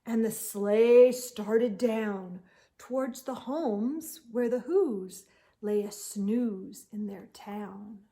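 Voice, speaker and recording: A woman reading a children's picture book aloud as a storytime host, expressive and theatrical, with drawn-out emphasis. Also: medium pitch.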